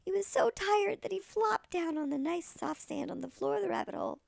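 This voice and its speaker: Tiny elderly granny storyteller, played by a woman: high-pitched, very gravelly, expressive picture-book read. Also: brisk, no echo, studio quality, heavily acted. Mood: storytelling. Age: older. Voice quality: creaky, gravelly. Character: tiny granny, a little old lady storyteller. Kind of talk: expressive children's storybook reading.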